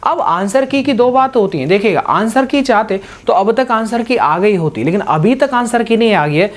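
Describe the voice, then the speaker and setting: A man's voice: sits high, expressive, moderate pace. Male exam coach. Informal, instructive explainer who restates points and keeps addressing students directly. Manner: repetitive, instructive, with frequent verbal pointers to the listener.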